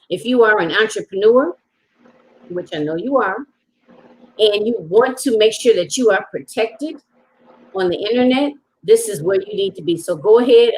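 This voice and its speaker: A cheerful woman hosts an online talk show. She welcomes viewers with bright enthusiasm and quizzes her guest in a chatty, easygoing way. Her voice sits at an ordinary middle pitch. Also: poor audio. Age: older than guest.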